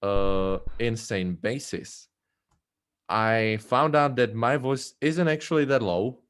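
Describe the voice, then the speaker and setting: A man, a bass singer, answering interview questions in a casual, hesitant way with many fillers and restarts. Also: takes it slowly, fairly bright tone, clean tone. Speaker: a cappella bass singer and arranger.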